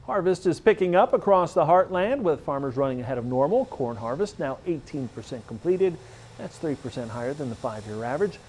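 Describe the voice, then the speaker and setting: A man's voice: a little high, expressive, a little fast, a little mellow. An American man reading a scripted agricultural news bulletin as the news presenter. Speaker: news reader.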